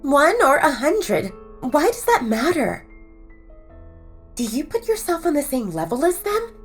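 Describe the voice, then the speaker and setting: A young female werewolf, chatty and persuasive, who teases and flatters her friend. The voice sits high and swoops about lively. Soft music hums behind her in this audio drama. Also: a little slow. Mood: coaxing. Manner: eager, persuasive, teasing. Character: gushing young woman werewolf, the bride's friend.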